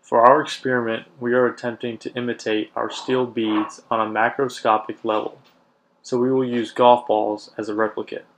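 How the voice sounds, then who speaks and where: A young man from Kentucky, an engineering student reading a class presentation quietly and levelly. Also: medium pitch, level tone, moderate pace, slight background noise, rough recording. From Lexington, Kentucky. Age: young adult.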